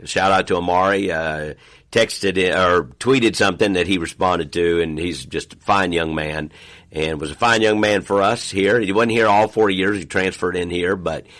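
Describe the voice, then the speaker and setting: Deep-voiced, slightly hoarse pastor. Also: male voice, moderate pace. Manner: relaxed, trailing off with fillers. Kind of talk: casual podcast chat.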